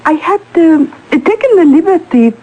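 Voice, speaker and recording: An actress in an old-time radio drama playing a grand, calculating Parisian madame, theatrical and coyly insinuating. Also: female voice, very high-pitched, animated, moderate pace, narrow, tinny audio. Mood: breezily grand. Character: grand, calculating Parisian madame feigning grief over a painter. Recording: vintage radio drama recording.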